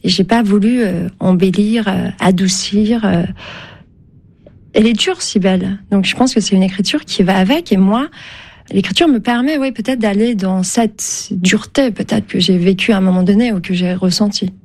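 A woman's voice: mid-range voice, moderate pace, a little mellow. Hesitant Turkish-born woman novelist. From Turkey. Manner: thoughtful, hesitant with many fillers and restarts. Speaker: novelist guest. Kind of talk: radio interview.

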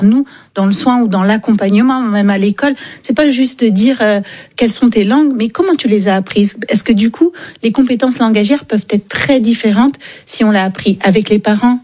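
A woman's voice: medium pitch, darkish tone. A woman psychologist, a studio guest, explaining her point emphatically and with enthusiasm. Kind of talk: expert commentary in studio. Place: radio studio.

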